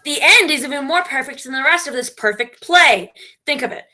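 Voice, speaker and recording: A grandiose, imperious female director acted by a woman in a theatrical comedy. The voice sits fairly high and cuts through brightly, swinging widely in pitch as she lords it over everyone as a self-declared genius. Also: lively intonation, fairly quick, faint music behind.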